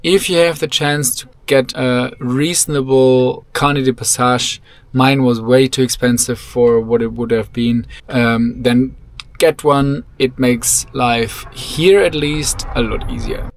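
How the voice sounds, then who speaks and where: A young man, a native German speaker, talking casually to a camera held at arm's length as he travels, calm and matter-of-fact. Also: mid-range voice, takes it slowly, dry recording. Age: young adult. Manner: relaxed, matter-of-fact, chatty. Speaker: travel vlogger. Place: inside a vehicle and outdoors at a border. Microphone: handheld camera at arm's length.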